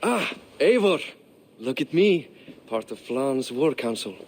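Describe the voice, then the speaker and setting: A wry, weary Viking lord. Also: male voice, medium pitch, big pitch swings, takes it slowly, darkish tone, slight background noise. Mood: rueful. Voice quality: rueful, dryly joking. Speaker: Viking king of Dublin. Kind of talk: video game cutscene acting.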